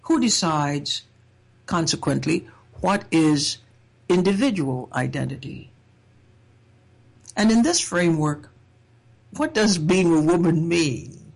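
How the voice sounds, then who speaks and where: A woman from Trinidad giving a reflective personal talk in a measured, thoughtful way, with wry humor. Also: low voice, expressive, gravelly, breathy edge. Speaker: speaker sharing a personal essay.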